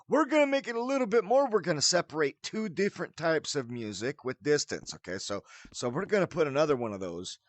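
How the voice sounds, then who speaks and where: High-pitched, animated man hosting a tutorial. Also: no room sound. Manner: theatrical, mock-formal wording mixed with folksy slang. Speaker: tutorial host. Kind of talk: casual spoken game tutorial with showy greeting.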